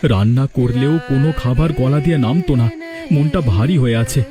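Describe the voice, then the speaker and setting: A man's voice: mid-range voice, brisk, close to an everyday voice. A man voicing a poor, humble male yogurt seller in a cartoon, with a deferential, plaintive manner. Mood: dejected. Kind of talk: cartoon character dialogue.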